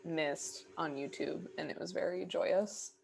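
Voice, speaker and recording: A woman's voice: lowish voice, steady tone, unhurried, gravelly, a crowd in the background. A woman co-hosting a casual podcast, talking playfully with joking asides. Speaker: podcast co-host.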